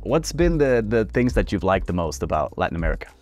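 Guy from sweden hosting, chill, keeps asking quick questions, lapel mic. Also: sits low, faint music behind. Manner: relaxed, agreeable, prompts with short questions. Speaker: host. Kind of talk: casual chat. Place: small podcast studio.

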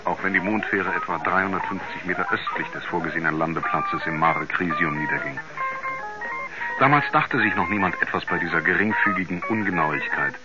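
Man acting a grave writer narrating an old radio drama, deep measured voice, music clearly behind him. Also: dull audio, lightly acted. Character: sober male writer recalling his astronaut friend. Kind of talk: 1970s German radio play narration. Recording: band-limited 1970s radio recording with music.

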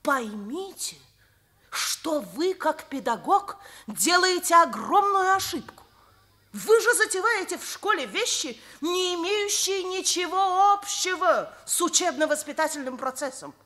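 An actress playing a rigid, principled older schoolteacher, emphatic and lecturing, in Soviet radio theatre. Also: female voice, extremely high pitch, lively intonation, a little slow, sharp tone, exaggerated voice. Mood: stern, reproachful.

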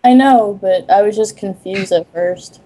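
A woman's voice: medium pitch, a little slow, warm tone, low-quality recording. A woman reading a script aloud and chatting casually in a group of friends. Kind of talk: casual chat and read-aloud among friends.

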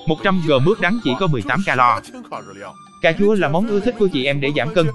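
A man's voice: on the high side, expressive, quick pace, over music, lightly acted. A man playing a boastful, quarrelsome talking bitter melon in a cartoon dub. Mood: boastful, combative.

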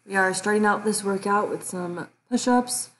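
A woman's voice: mid-range voice, moderate pace, loud music behind the voice. A woman in her twenties chatting informally as a fitness vlogger, explaining things in a rambling, casual way. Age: 20s. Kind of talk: casual vlog chat and workout voice-over.

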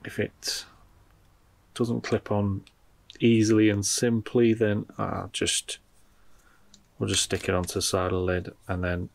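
A man with a low voice and plenty of gravelly creak talks through a product as he handles it. His delivery is informal and unscripted, like someone thinking aloud during a casual unboxing. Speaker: product reviewer.

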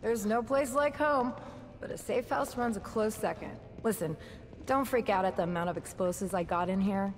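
A woman's voice: mid-range voice, normal speed, a little hiss or hum, natural voice. An actress playing a tough, wry female survivor in a video game, talking in a brash, joking way. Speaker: game character.

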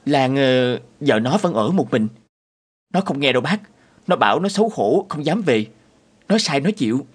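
An actor playing a polite, caring young neighbour in an animated drama, speaking respectfully and earnestly. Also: male voice, mid-range voice, moderate pace, breathy edge. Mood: regretful. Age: young adult.

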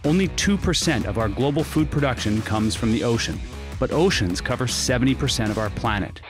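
A man's voice: mid-range voice, lively intonation, fairly quick. A man delivering scripted narration for a promotional video. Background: music.

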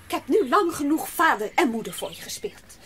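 A brusque, impatient aunt in a children's audio drama. Her voice is high and swings a lot, curt and sighing, with birdsong and outdoor noise clearly behind her. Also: big pitch swings, a little fast, fairly bright tone. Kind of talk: children's radio play acting.

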